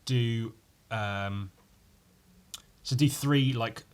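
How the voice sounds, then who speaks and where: A man with a croaky voice rambling casually on his own as a podcast host, informal and sweary, poking fun at himself and tacking questions onto his remarks. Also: medium pitch, extremely slow. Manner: sweary, informal, self-deprecating, with tag questions. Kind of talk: casual rambling solo chat.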